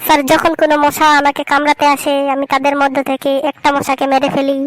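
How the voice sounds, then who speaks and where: A woman's voice: high-pitched, flat delivery, no echo, lightly acted. A woman voicing a cheeky schoolboy who gives absurd answers in a comedy cartoon. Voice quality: fast, cheeky storytelling. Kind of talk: cartoon voice acting.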